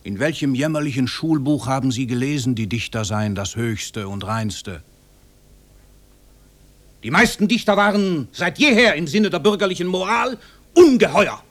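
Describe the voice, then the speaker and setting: Husky, suave, superior murderous writer. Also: male voice, on the high side, expressive, no room sound. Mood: grandly emphatic. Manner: condescending, deliberate, theatrical. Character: celebrated male writer, suave self-confessed murderer, superior. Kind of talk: mid-century German radio drama acting.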